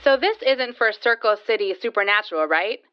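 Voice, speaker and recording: A woman's voice: mid-range voice, animated, fairly quick, lightly acted. Muffled call audio, actress as friendly young female paranormal investigator, casual, easygoing, audio drama, faint music. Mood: casual, agreeable. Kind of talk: scripted interview over a phone call. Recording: dull, band-limited phone call sound.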